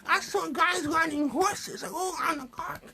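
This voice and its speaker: A man's voice: very high-pitched, normal speed, bright, forceful tone, pitched above the performer's own voice, full character voice. A man voicing a chatty, sassy young girl puppet who tells stories and complains in colloquial speech. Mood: recounting. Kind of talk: improvised puppet show storytelling.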